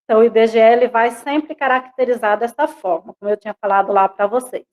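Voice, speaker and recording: A woman's voice: fairly high pitch, fairly even intonation, fast, a little mellow, poor audio. A woman teacher with a Bahian accent, lecturing an online class in a conversational way, dropping frequent tag questions, over a video call. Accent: Bahian Portuguese. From Bahia, Brazil.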